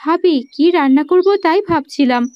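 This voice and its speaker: A woman's voice: high voice, normal speed, highs cut off, close to an everyday voice. A woman playing a meek, obedient young village girl in a cartoon fairy tale, polite and submissive.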